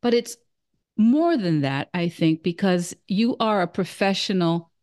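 A woman's voice: mid-range voice, lively intonation, a little slow, darkish tone. A woman hosting an interview podcast who often restarts her sentences and praises her guest freely. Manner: hesitant, with frequent restarts and effusive praise. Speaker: podcast host.

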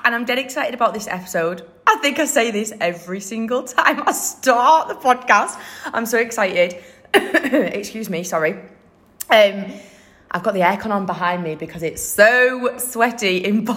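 A young woman hosts her own podcast in a relaxed, chatty way, full of upbeat energy and little asides. Her voice sounds slightly croaky today. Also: medium pitch. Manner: chatty, upbeat, many fillers and laughs. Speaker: podcast host. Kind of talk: casual solo podcast chat. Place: room with air conditioner running behind.

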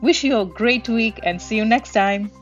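A woman's voice: on the high side, moderate pace, faint music behind. A woman hosting a podcast, chatting casually with many fillers and restarts and laughing easily. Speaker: podcast host. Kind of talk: casual interview chat. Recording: dull lo-fi audio.

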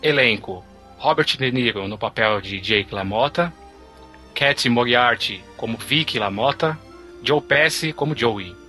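A man's voice: mid-range voice, unhurried, pushed and bright, soft background music. A man hosting a film podcast, reading the credits and steering the talk with questions. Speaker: podcast host. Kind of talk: casual podcast discussion.

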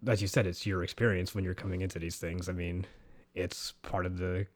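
A man's voice: sits low, flat delivery, brisk. A man hosting a podcast interview, asking questions in a loose, conversational way. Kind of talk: casual chat, asking questions.

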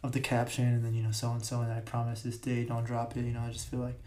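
A young man with a raspy voice hosts his own podcast. He rambles casually and keeps correcting himself, using plenty of fillers. His delivery stays flat and mellow, and a large room can be heard around him. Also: mid-range voice, quick pace, slight background noise. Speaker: podcast host. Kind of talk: casual solo podcast talk. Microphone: podcast mic.

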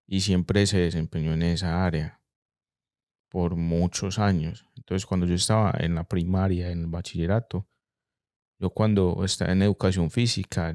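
A man from Colombia with a Medellín Spanish accent, telling stories in a relaxed, reflective way during a casual chat with frequent restarts. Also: low voice, dry recording, studio quality.

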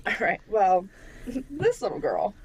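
A woman's voice: medium pitch, huge pitch swings, measured pace, creaky, slight background noise, low-quality recording. A woman in her twenties co-hosting a casual chat podcast, teasing and laughing often. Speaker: podcast co-host.

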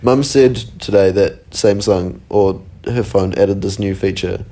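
A man with a New Zealand accent and a fairly low voice, chatting on a podcast. He sounds laid-back and easygoing, with casual swearing running through his talk. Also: a little slow, mellow. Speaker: podcast co-host. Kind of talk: casual chat.

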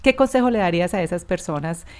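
A woman hosting a podcast, friendly and quick to agree and to sum up what her guest says. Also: lowish voice, animated, darkish tone, clean tone. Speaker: podcast host. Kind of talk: casual podcast interview.

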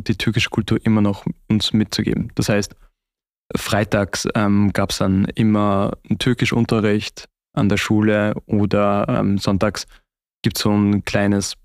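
A man's voice: fairly low pitch, no echo, clean studio sound. A young man with an Austrian accent, talking reflectively and conversationally as an interview guest. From Austria (Vorarlberg).

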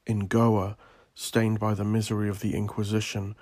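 A man from Northern Ireland narrating his own scripted story as an author, in a brooding, solemn, deliberate and theatrical manner. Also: on the low side, completely flat delivery, a little mellow.

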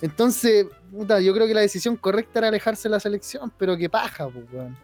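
A man's voice: sits high, brisk, soft background music. Slangy Chilean young man. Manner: slangy, swearing, animated storytelling. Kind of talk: casual football chat.

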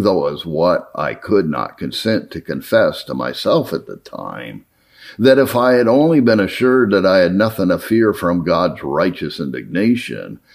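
A man reading an old formal letter aloud as a narrator, in an earnest, measured way. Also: low voice. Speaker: narrator reading historical religious writings.

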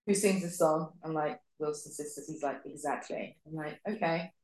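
A woman's voice: deep voice, fairly even intonation, moderate pace, a little mellow. A woman with an English accent chatting casually as a podcast co-host, playful and self-deprecating. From Thatcham, Berkshire, England. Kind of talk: casual chat, fan Q&A. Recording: echoey room.

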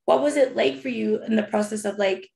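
A woman interviewer asking measured questions between short affirmations. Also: a little high, vocal fry, darkish tone. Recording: roomy echo.

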